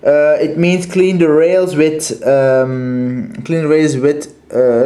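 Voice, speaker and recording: Slow, hesitant Dutch-accented man. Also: medium pitch, a little mellow, roomy sound. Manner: hesitant, many fillers and restarts. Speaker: channel host reviewing toy trains. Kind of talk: casual show-and-tell review.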